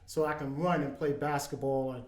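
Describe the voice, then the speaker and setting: A man in his fifties speaking in casual chat as a podcast guest, earnest and halting, with many ums and restarts. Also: a little high, steady tone, echoey room. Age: 50s.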